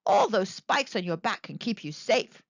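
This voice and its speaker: A kind male owl from a children's storybook, played by a woman reading aloud, reassuring and encouraging. The delivery is lively and melodic, with a dry, slightly muffled recording. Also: mid-range voice, normal speed, creaky, no echo. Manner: encouraging, explanatory.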